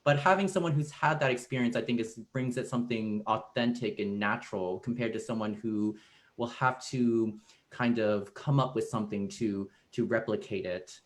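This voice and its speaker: A man speaking as a stage director in a casual post-show discussion, thoughtful and halting as he searches for words. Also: on the low side.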